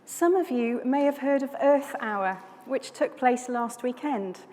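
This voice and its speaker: A woman from South Wales giving a sermon as a guest speaker, in a measured, reflective way, phrase by phrase. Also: high voice, dark tone. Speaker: guest preacher from a conservation charity. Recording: long hall reverb, background noise.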